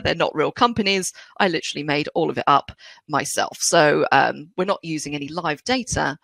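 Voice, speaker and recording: A woman's voice: lowish voice, gravelly, dry recording. A woman with a London accent, an IT trainer talking through a webinar lesson in a chatty, explanatory way. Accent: London English. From England. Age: middle-aged. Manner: chatty, explanatory, animated delivery. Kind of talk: webinar software tutorial.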